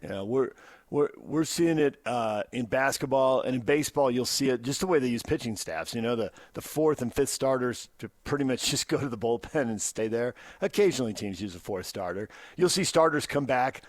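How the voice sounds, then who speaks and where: Male sports radio host, American accent, mid-pitched voice, dry studio sound, conversational delivery. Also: expressive, a little fast, dry recording.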